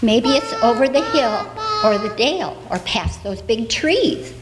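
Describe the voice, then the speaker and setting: A woman voicing a gentle, helpful little lamb in a children's story, with a soothing manner. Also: a little high, lively intonation, a little slow, over loud music.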